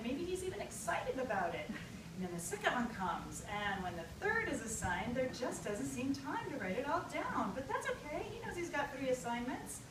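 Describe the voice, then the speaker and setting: A woman reading a humorous personal essay to an audience, theatrical and emphatic, with deliberate pauses. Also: high voice. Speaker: writer reading own essay. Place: live reading at an event. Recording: echoey, distant live recording with loud noise.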